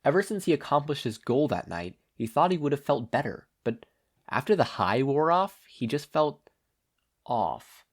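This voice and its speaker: A man reading stories aloud as an expressive podfic narrator. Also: medium pitch, moderate pace. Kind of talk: expressive fan fiction narration.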